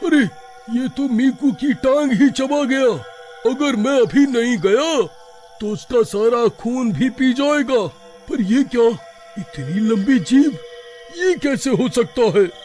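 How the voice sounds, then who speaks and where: A man's voice: very high voice, slow, darkish tone, soft background music. A man playing a cautious, caring brother in a cartoon horror story, with an earnest, worried delivery. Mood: alarmed, determined.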